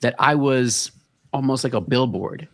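Relaxed Bronx man telling his story. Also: mid-range voice, a little slow. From the Bronx, New York. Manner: relaxed, storytelling with many filler words. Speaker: guest, content creator. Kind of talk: casual podcast interview.

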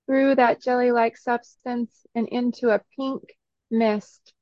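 A woman leading a guided meditation in a soothing, instructional way, in short phrases with long pauses between them. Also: fairly high pitch, flat delivery, dry recording, dull audio.

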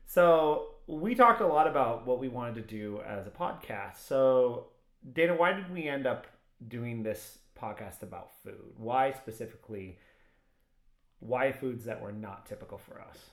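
Male podcast co-host, mid-pitched, relaxed, in a roomy echo. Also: unhurried. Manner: easygoing, uses fillers. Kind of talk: casual podcast chat. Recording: echoey room.

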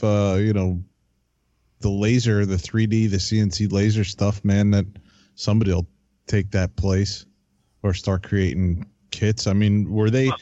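A man's voice: fairly low pitch, mellow, dry recording, lo-fi sound. A man co-hosting a casual podcast, asking easygoing, curious questions. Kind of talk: casual chat.

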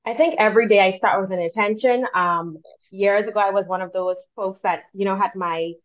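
A woman from the Bahamas, in her thirties, speaking as a career coach guest in a relaxed, thoughtful way with frequent fillers. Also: medium pitch, brisk. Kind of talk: casual interview talk. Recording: dull lo-fi sound.

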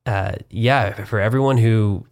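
Rambling young man, low-pitched. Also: measured pace. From Wichita, Kansas. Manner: rambling, many fillers and restarts, self-deprecating humor. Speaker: parish communications and development coordinator, guest. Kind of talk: casual interview conversation.

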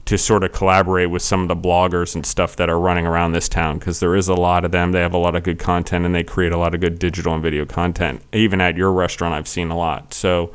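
A man's voice: low-pitched, level tone, fast talker, few pauses, clean tone. A young man hosting a casual podcast interview, chatty and rambling with lots of fillers. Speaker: podcast host.

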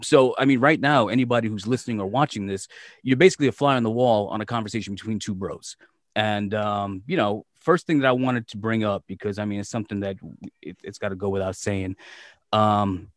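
A man's voice: fairly low pitch, fairly quick, no echo, studio quality. A man hosting his own podcast, chatting in a loose, joking way with plenty of swearing. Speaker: podcast host. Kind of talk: casual, profane podcast chat.

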